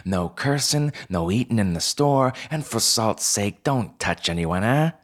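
A man's voice: fairly low pitch, animated, measured pace, voice pushed up. A man voicing a bossy female fox store manager in a story, with a barking, clipped, blunt way of talking. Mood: brisk, bossy.

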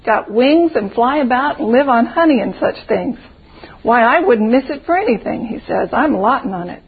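A woman voicing a small, cheerful storybook caterpillar with a soft, woolly, fuzzy voice and folksy old-time country speech. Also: on the high side, expressive, normal speed, slightly rough, narrow, tinny audio, full character voice. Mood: eager, cheerful.

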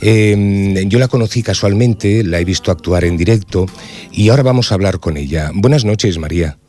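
Hesitant low-voiced male radio host. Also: moderate pace, dark tone, soft background music. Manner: hesitant, chatty, many fillers. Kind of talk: casual radio interview.

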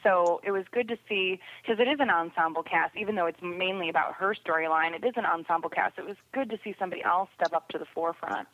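A woman's voice: on the low side, quick pace, few pauses. A woman chatting casually about television in an opinionated, emphatic way over a remote call. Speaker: podcast guest commentator on sci-fi TV. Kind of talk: casual TV review chat. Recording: dull, phone-like line.

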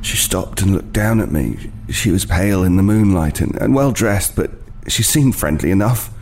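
A man's voice: low-pitched, animated, darkish tone, a little hiss or hum. An actor playing a weary, wary homeless man, hesitantly telling an unsettling tale in an audio play. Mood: haunted, reflective. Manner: hesitant, halting, haunted, with repeated words. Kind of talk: dramatic first-person storytelling in an audio play.

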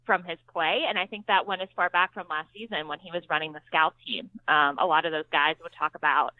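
A woman's voice: low-pitched, steady tone, a little fast, no room sound, phone-quality sound. An American woman, a sports beat writer, giving conversational answers as an interview guest. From Pittsburgh, United States.

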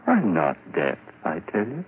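An actor playing a deranged, gentle male killer who insists he hears everything, in 1940s radio acting. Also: deep voice, huge pitch swings, a little slow, exaggerated voice. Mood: frantic. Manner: pleading, rising to frantic insistence. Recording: tinny old radio broadcast with hiss.

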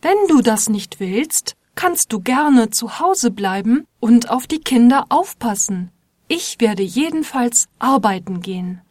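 A woman's voice: a little high, expressive, slow, a little mellow, dry recording, studio quality. A woman as a determined mother going back to work. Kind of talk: scripted learner dialogue.

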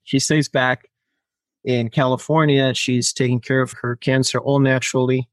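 Finnish man, native Finnish speaker, animated podcast guest, mid-pitched voice, dry recording. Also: moderate pace, no echo. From Finland. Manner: animated storyteller who acts out quoted lines and laughs often. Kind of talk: casual storytelling interview.

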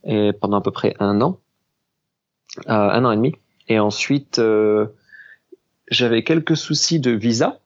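A man in his thirties from southwest France, a pastry chef telling his story in a relaxed, unhurried way in an interview. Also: on the low side, lo-fi sound. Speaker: pastry chef and business founder. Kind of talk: casual interview conversation.

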